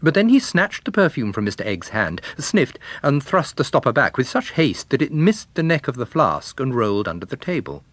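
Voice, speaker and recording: A man's voice: mid-range voice, sing-song, normal speed, few pauses, a bit bright, no room sound. A man giving a scripted narration of a detective story as an audiobook narrator.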